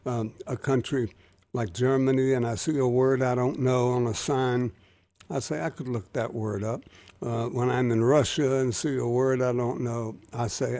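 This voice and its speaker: A man's voice: medium pitch, a little mellow. A man hosting a radio interview, chatty and wry in his questions. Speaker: radio talk show host.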